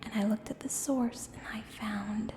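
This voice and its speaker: A woman playing a menacing, coolly seductive contract killer in an ASMR audio roleplay, speaking in a hushed, deliberate, breathy voice with pointed pauses. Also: fairly high pitch, fairly even intonation, close to an everyday voice. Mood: silky menace. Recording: roomy long reverb, clear background noise.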